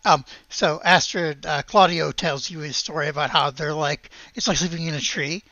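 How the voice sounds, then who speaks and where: A man's voice: fairly high pitch, steady tone, normal speed, pushed and bright, no echo, dull audio. A man acting as game master, casually narrating a tabletop game.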